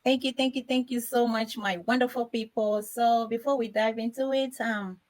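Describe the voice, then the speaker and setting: High-voiced woman hosting a panel. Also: fairly even intonation, normal speed, a little mellow. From Ghana. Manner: effusive, repeatedly thanking. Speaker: host. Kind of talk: hosting a live panel discussion.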